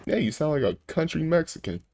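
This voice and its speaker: A man's voice: on the high side, big pitch swings, quick pace, crowd noise. A man bantering casually like a streamer reacting to videos, blunt, teasing and slangy, with some swearing.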